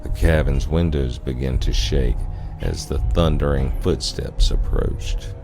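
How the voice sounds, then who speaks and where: A man's voice: extremely low pitch, steady tone, unhurried, creaky. A man telling a horror story as a dramatic scripted narration. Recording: music under the voice.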